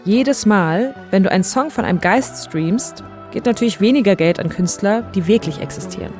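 Female podcast narrator, mid-pitched, conversational storytelling over clear background music. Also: animated, moderate pace, a little mellow.

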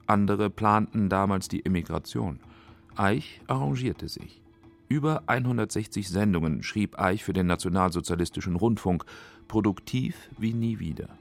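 A man narrating a scripted radio feature in a factual, measured manner. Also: lowish voice, vocal fry, faint music behind. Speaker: documentary narrator.

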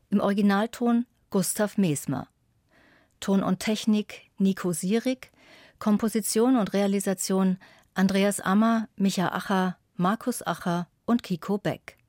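A woman announces radio play credits from a script. Her voice has a middle pitch, and it is recorded very dry, with no room around it. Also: a little mellow, no echo.

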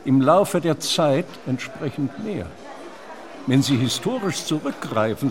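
Earnest opera scholar in a radio play interview, super lively intonation, formal and learned, mid pitch. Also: male voice. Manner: erudite, formal, emphatic. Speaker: interviewed author.

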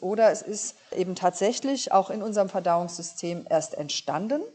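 A woman's voice: mid-range voice, a little mellow. A woman speaking as an expert, explaining science step by step in everyday words for children. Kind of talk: explanatory interview answers for children.

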